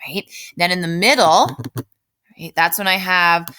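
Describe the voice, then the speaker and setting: A woman's voice: on the low side, unhurried, sharp tone, clean tone. A woman teaching an informal writing lesson step by step, thinking aloud and often checking in with the listener. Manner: explanatory, often checks in with "right?". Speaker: elementary school writing teacher.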